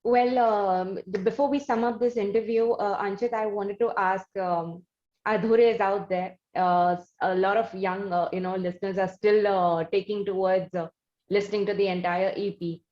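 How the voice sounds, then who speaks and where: Female host, Indian accent, deliberate halting questions, conversational, lo-fi room sound. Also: medium pitch, fairly even intonation, warm tone. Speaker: interviewer, show host. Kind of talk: conversational music interview. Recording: roomy, dull lo-fi sound.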